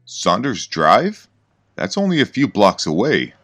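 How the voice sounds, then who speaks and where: A man's voice: fairly low pitch, wildly swooping pitch, unhurried, a bit bright, faint music behind, voice pushed up, heavily acted. A man playing a tough middle-aged detective who is stern but charming. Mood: muttering. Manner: stern, gruff.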